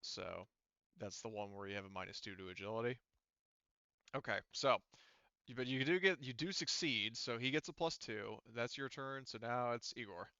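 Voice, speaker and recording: A man acting as game master, explaining rules and turns in a halting, explanatory way over an online session. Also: medium pitch, bright, forceful tone, clear, resonant tone, no room sound, highs cut off.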